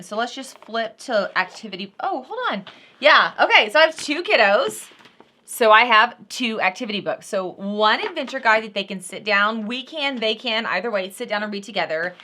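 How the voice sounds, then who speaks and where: A woman with a bright, forward voice at an average pitch hosts an unboxing vlog. She chats casually and without a script, speaking straight to her viewers in folksy, informal language. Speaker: host of unboxing and review vlog. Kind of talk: casual unscripted product review chat.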